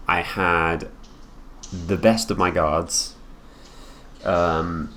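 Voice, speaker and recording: Casting: male actor as imperious, coolly menacing young royal heir for ASMR roleplay; very deep, condescending delivery, noticeable background hiss. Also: fairly even intonation, fairly bright tone, exaggerated voice. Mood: smug, matter-of-fact. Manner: condescending, deliberate, drawn-out phrasing with pauses. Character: haughty possessive young prince, heir to the throne, coolly menacing. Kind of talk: improvised ASMR roleplay, addressing the listener.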